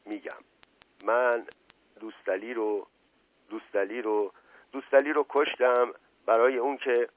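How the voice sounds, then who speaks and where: A man's voice: medium pitch, animated, breathy edge. A man voicing a wily aristocratic suspect in a story, mock-bashful, sighing and theatrically lovelorn. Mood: meek, reluctant. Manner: halting, sighing, melodramatic. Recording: roomy lo-fi radio-like narrow band.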